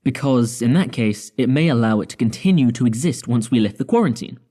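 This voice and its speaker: A confident male starship scientist in a sci-fi audio drama. His voice is dark and relaxed, mid-pitched, and he lays out ideas in careful, deliberate steps. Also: slightly rough. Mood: calm, resolute. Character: measured, confident male spaceship scientist proposing drastic plans.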